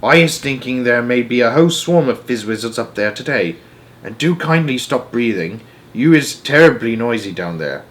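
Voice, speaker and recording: A man reading aloud and voicing a huge, kindly old male giant who gets excited, mangles his grammar and uses made-up words. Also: mid-range voice, moderate pace, lightly acted. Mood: delighted. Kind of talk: storybook read-aloud.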